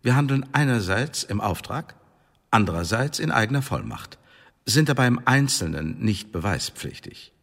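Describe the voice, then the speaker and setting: An actor in a German radio drama playing a cold, mocking secret service tribunal chief, officious and commanding. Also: male voice, medium pitch, sing-song, moderate pace. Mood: stern, emphatic. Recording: echoey hall, clear background noise.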